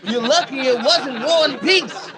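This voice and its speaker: A man's voice: extremely high pitch, unhurried, a crowd in the background. A man playing a loyal but cheeky household valet in 1950s radio comedy style, with dry, sassy punchlines. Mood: wry.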